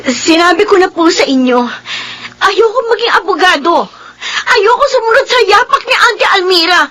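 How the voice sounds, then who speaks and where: High-voiced, anguished young Filipino daughter. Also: female voice, animated, normal speed, fairly bright tone. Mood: angry defiance. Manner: emotional, pleading, tearful outbursts. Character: defiant, anguished young daughter pushed toward law school. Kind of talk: Filipino radio drama acting.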